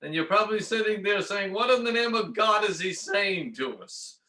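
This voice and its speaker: High-voiced storyteller in an echoey room. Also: male voice, animated, normal speed, bright, forceful tone. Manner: earnest, with frequent fillers and repeated words. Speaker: storyteller and folk singer. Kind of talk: live storytelling performance. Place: public library event room.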